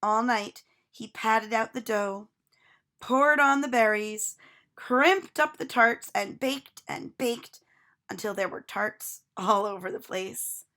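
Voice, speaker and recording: A woman reading a children's storybook aloud with expressive narration. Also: fairly high pitch, slow.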